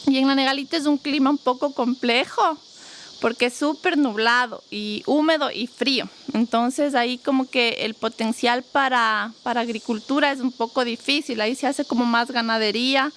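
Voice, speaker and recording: A woman, a guest in a casual interview, talking in a thoughtful, steady way about her family farm. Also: fairly high pitch, normal speed, slight background noise. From Ecuador. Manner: thoughtful, steady, often uses connecting fillers. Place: rural farm, crickets and insects around.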